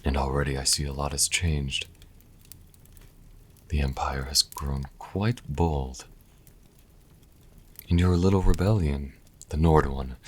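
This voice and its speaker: A man's voice: extremely low pitch, slightly rough, heavily acted. A man playing a sassy, scholarly vampire in an audio roleplay, speaking in a wry, leisurely and articulate way. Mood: wry, musing. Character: sassy, scholarly male vampire, dryly hospitable.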